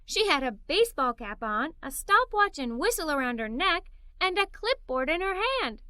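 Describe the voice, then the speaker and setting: A woman narrating a children's story in an expressive, animated read-aloud style. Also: very high voice, moderate pace, dry recording, studio quality. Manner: expressive, performs the characters' dialogue.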